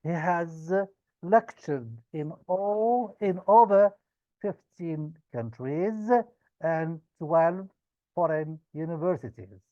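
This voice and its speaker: A man moderating a conference, giving a formal speaker introduction in halting short phrases. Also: a little high, warm tone, no echo, dull audio.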